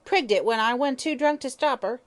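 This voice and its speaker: A woman voicing a vicious, drunken old hag of a fortune-teller who croaks and snarls in coarse slang. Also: mid-range voice, animated, moderate pace, voice pushed up, lightly acted. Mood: surly.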